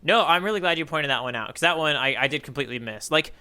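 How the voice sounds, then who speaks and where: A man co-hosting a casual manga readalong podcast, feeling his way through his points in halting phrases. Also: fairly high pitch, expressive, bright, forceful tone, clean tone. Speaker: podcast co-host.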